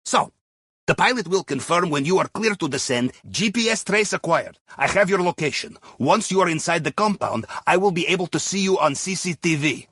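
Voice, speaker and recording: A jovial Russian captain guides a crew through a video game heist. His Russian-accented voice sits high for a man and swings widely in pitch, with dropped articles and playful questioning tags. The sound is dry and close. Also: lively intonation, a little fast, fairly bright tone, breathy edge, no room sound, heavily acted. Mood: wry, instructive. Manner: playful, theatrical, drops articles, tags sentences with questioning "yes?" or "huh?". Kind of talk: video game mission dialogue over comms.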